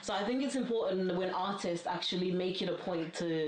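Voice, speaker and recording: A woman's voice: on the low side, a little fast, a little hiss or hum. A woman from Zimbabwe in a casual podcast chat, talking with frequent filler "like". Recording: roomy, echoey room.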